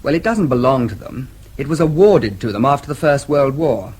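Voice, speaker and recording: An actor playing a mild, patient diplomat in a radio drama, with a measured, explanatory manner. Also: male voice, medium pitch, animated, a little mellow, close to an everyday voice. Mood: mildly reproving.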